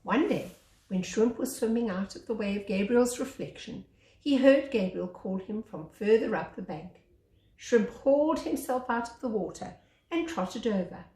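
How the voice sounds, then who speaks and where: A woman reading a children's story aloud as a teacher, with expressive narration. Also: fairly high pitch, moderate pace. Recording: echoey room.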